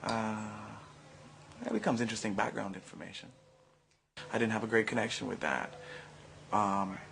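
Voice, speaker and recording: Gravelly-voiced man from Barbados. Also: fairly low pitch, fairly even intonation, fairly bright tone, a little hiss or hum. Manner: searching, measured reflection. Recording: faint background ambience.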